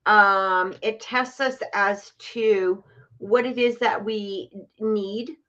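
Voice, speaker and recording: A woman's voice: medium pitch, fairly even intonation, extremely slow, bright, forceful tone, dull audio. A woman hosting a live tarot reading, chatting her way through the cards with lots of "you know". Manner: chatty, explains cards with filler words. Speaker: psychic tarot reader, host.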